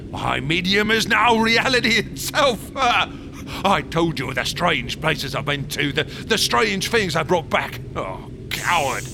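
An actor playing a deranged, fanatical wax sculptor in a horror radio drama, theatrical and gloating. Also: male voice, fairly high pitch, vocal fry, noisy background. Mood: boastful menace. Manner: theatrical, swinging from whispers to shrieks, cackling.

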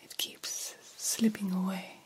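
A woman playing a vampire doctor in an ASMR roleplay, guiding a young fledgling with formal, deliberate, hypnotic instructions. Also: medium pitch, flat delivery, darkish tone, lightly acted. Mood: intent, encouraging. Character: stern yet encouraging female vampire doctor mentoring a fledgling.

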